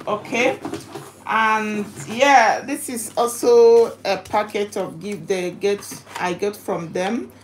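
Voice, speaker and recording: A woman chatting casually to her livestream viewers while unboxing, halting and repeating herself, recorded in a home kitchen. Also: medium pitch, fairly even intonation. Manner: halting, repetitive, chatty delivery. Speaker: livestream vlogger. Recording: noisy home recording with clicks.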